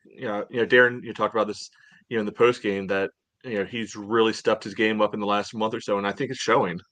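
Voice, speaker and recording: A man casually discussing a match as a podcast co-host, in halting, meandering phrases. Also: on the low side, little pitch movement, fairly bright tone, no room sound. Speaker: soccer fan podcast co-host.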